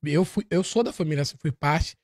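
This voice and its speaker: A relaxed man from Salvador, Bahia, hosts a business podcast and chats easily with his guest. His voice is a little high. The sound is dry and close to the mic. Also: a little fast, no room sound. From Salvador, Bahia, Brazil. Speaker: podcast host. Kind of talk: casual interview chat.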